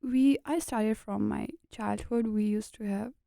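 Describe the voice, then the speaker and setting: Pakistani woman with a gravelly mellow voice. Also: medium pitch, fairly even intonation, unhurried, no room sound, studio quality. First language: a regional language of Pakistan. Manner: earnest, short plain sentences, laughs often. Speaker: graduate student guest. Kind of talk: casual interview chat.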